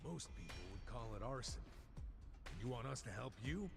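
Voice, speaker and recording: A man's voice: mid-range voice, lively intonation, moderate pace, gravelly. An actor playing a sceptical, blunt male hero in a crime drama video game. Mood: incredulous. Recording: game music behind.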